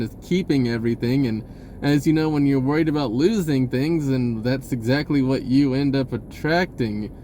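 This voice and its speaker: A man giving an unscripted personal message in a casual, halting, rambling way with short broken phrases. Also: medium pitch, dark tone, a little hiss or hum.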